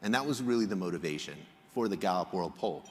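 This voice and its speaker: A man from Egypt giving a prepared public presentation as a researcher, explaining in a measured way with emphatic phrasing. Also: sits low, expressive, darkish tone. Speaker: conference speaker, polling researcher. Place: large indoor hall, on stage. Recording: reverberant hall sound.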